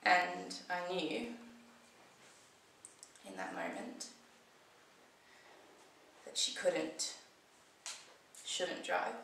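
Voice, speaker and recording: A young woman acting a conversational monologue as a shaken, defensive teenage girl, telling her story in colloquial, halting bursts. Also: fairly low pitch, very animated, gravelly, a bit bright, slight background noise, full character voice. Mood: subdued, uneasy. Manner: colloquial, swearing, halting then breathless retelling. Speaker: acting student performing a monologue. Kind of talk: dramatic screen-acting monologue, conversational first-person storytelling. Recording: echoey room, slightly distant microphone.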